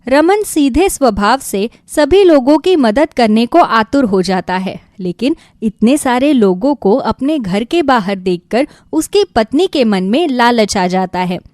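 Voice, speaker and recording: Woman reading a kids moral story, expressive, up and down pitch, very dry recording. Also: unhurried, hardly any pauses, no echo. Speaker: narrator. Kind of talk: scripted children's moral story narration.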